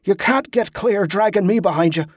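A man's voice: sits high, moderate pace, dry recording, studio quality, pitched above the performer's own voice. A man voicing a stubborn wounded soldier who speaks with strain through clenched teeth in an audiobook story. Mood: pained, insistent.

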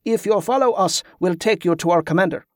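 A man's voice: high-pitched, brisk, no room sound, studio quality, lightly acted. A man voicing a courteous but challenging soldier on patrol in an audiobook story. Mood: curious, brisk.

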